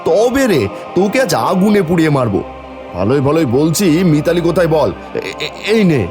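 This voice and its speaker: A man's voice: on the high side, sing-song, moderate pace, over music. A man playing a suspicious, hot-tempered village husband in a folk-tale cartoon, with a dramatic, emphatic delivery. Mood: furious.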